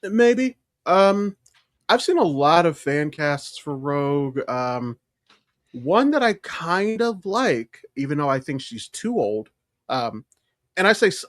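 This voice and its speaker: American male podcast co-host, medium pitch, loose rambling chat, dry recording. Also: dry recording. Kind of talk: casual chat about comics and films.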